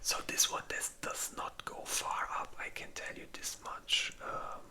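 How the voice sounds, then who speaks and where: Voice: unhurried, sharp tone. An ASMR creator whispering casually in a rambling, informal way, as if chatting through a personal movie list. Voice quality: breathy unvoiced whisper.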